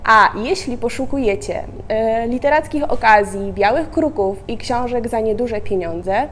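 Middle-aged bookshop woman, higher voice, upbeat and friendly, faint room noise. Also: animated, unhurried, a bit bright. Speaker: bookshop staff. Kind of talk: promotional announcement. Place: indoor bookshop.

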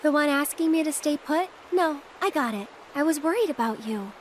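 A woman's voice: sits high, animated, moderate pace, a little hiss or hum. An actress playing a playful, self-assured young woman with a casual, teasing manner in a video game cutscene. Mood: breezy, reassuring. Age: young adult.

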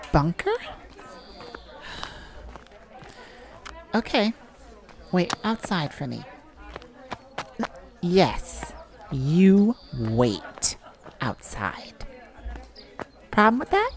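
A woman playing a weary, defiant mage in a dramatic audio roleplay, speaking in a halting, wry way. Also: lowish voice, huge pitch swings, full character voice. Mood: firm, curt. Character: weary, defiant human mage longing for captured mate.

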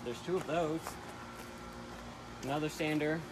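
A man's voice: a little high, unhurried, noisy background. A young adult man, helping show auction items in a shed, making laid-back short remarks. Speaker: auction staff helper. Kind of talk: casual on-camera banter.

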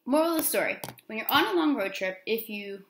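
American lady vlogging at home, kinda high voice, casual asides, pretty echoey room. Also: expressive, brisk, fairly bright tone, a little hiss or hum. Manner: conversational, informal asides. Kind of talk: casual vlog recounting from notes. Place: small room at home, indoors.

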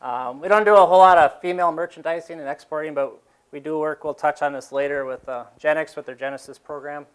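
A man's voice: on the high side, fast, clean tone. A dairy farmer in his fifties giving a casual talk to a seated audience in a large exhibition hall, rambling with fillers and restarts.

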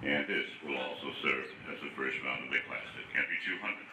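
A man announcing riders and results at a horse show over the public address, in a halting, measured delivery. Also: very deep voice. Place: outdoor horse show arena, heard over loudspeakers. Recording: echoey distant outdoor PA, noisy.

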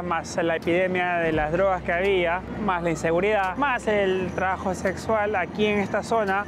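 A man's voice: high voice, noisy background. A man in his thirties from Peru with a Peruvian Spanish accent, chatty and full of rhetorical questions, giving a walking city tour outdoors on a lapel mic. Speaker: travel vlogger. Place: outdoors on busy city streets. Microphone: lapel mic on hoodie.

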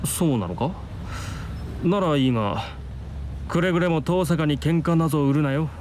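An actor plays a prim, moralizing male student. His voice sits a bit high and he speaks formally, like an old-fashioned scold in an anime game, with faint street ambience behind. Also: moderate pace, a little mellow, slight background noise, close to an everyday voice. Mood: stern, composed. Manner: formal, admonishing, archaic turns of phrase. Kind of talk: Japanese visual novel acting.

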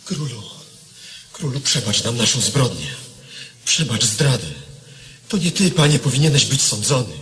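A man's voice: mid-range voice, expressive, moderate pace, pauses often, fairly bright tone, natural voice. Man as a pleading, remorseful traitor in reverb. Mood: pleading, ashamed. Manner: imploring, reverent. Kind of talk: radio drama acting. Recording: hall reverb, faint noise.

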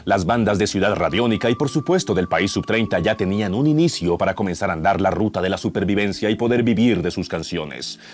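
A man's voice: low-pitched, animated, a little fast, few pauses. A man in his thirties with a Colombian accent narrating a radio serial in a mock-epic, suspenseful style, close on a studio mic. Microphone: close broadcast microphone.

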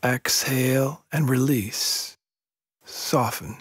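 Slow, breathy male meditation guide. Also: medium pitch, no room sound, clean studio sound. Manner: slow, hushed, soothing, measured instruction with long pauses. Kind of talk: guided yoga nidra sleep meditation.

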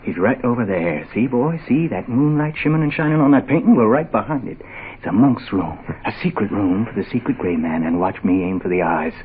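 A man's voice: fairly low pitch, lively intonation, brisk, a little hiss or hum, close to an everyday voice. An actor playing a smug defector spy turned gunman, taunting in drawling hipster slang in a 1960s radio mystery. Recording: muffled, phone-like vintage radio recording.

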